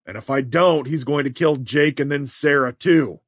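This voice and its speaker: A man voicing an older grandfather who speaks in a calm, earnest way. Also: medium pitch, normal speed, dry recording, natural voice. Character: older grandfather, steady and pleading.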